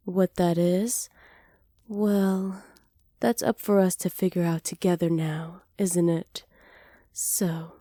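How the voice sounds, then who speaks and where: A sly, self-assured witch played by a woman speaks softly in a warm, dark-toned voice for an ASMR roleplay. She is flirtatious and hospitable, teasing and flattering as she goes. The sound is very dry, with no room around it. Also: fairly low pitch, no room sound, natural voice. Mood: coy, bargaining. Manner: soft-spoken, teasing, flattering, partly whispered. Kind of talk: ASMR roleplay, slow scripted acting.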